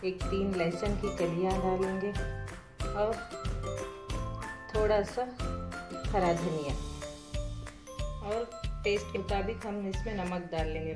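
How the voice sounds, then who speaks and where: A woman hosting a home cooking channel, calmly walking through each step of a recipe, pointing things out as she goes. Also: on the low side. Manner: patient, explanatory, repeats steps with "dekhiye". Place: home kitchen, cooking at the stove.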